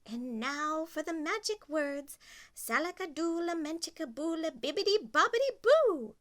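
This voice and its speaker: A woman's voice: mid-range voice, expressive, takes it slowly, gravelly. A woman voicing a kindly little old fairy godmother who coaxes and fusses. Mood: coaxing. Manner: warm, fussy, coaxing.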